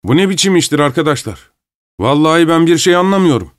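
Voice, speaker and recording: A man's voice: on the high side, expressive, fast talker, no echo, studio quality, pitched above the performer's own voice, lightly acted. A man voicing a flustered male bank treasurer in a storybook, offended and protesting. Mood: indignant.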